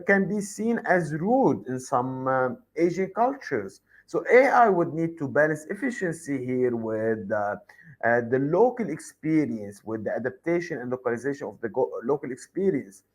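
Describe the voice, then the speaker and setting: A man answering interview questions as an expert guest, explaining his points one by one in a measured way. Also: a little high.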